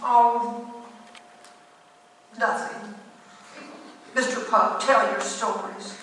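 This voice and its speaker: A woman plays a mischievous talking cat, flippant and mocking, in a theatrical radio drama. The voice is high and bright, with an unhurried, playful delivery. It rings from afar in a heavily echoing hall. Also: fairly even intonation, a little hiss or hum. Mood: teasing, sly. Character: sly, teasing talking cat who loves stories. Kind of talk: theatrical radio play acting. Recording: distant, very echoey hall.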